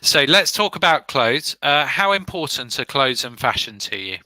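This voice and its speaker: Man giving speaking-test feedback, forceful. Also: mid-range voice, very animated, moderate pace, no echo, low-quality recording. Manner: encouraging, explains step by step. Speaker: former IELTS examiner running speaking practice. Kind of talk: examiner questions and feedback.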